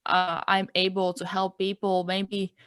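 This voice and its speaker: A woman in her twenties from Latvia speaks English with a noticeable Latvian accent, her second language. She recounts her own experiences as an interview guest, reflective and sincere, with frequent "like" and "um". Her voice sits at an ordinary middle pitch, recorded close and dry with no room sound. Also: completely flat delivery, unhurried, fairly bright tone, clean tone, no echo. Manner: reflective, earnest, peppers speech with "like" and "um". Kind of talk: personal story in a casual interview.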